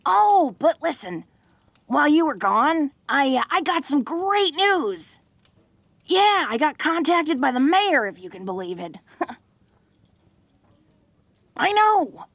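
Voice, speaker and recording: A woman voices a confident, secretly bashful orc girl in an intimate audio roleplay. Her voice sits a little high, with a gravelly creak. She talks slowly in folksy, halting tough-girl phrases, close and dry. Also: sing-song, fairly bright tone, no room sound, full character voice. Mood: excited, proud.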